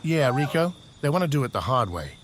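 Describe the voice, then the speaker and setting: A man's voice: mid-range voice, sing-song, fairly quick, a loud crowd, close to an everyday voice. A man voicing a taunting, demanding raider villain in a post-apocalyptic audio comic. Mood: menacing, taunting. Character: menacing, demanding small-statured raider villain. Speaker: voice actor. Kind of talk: audio comic dub, dramatic dialogue. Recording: noisy, with outdoor-style sound effects.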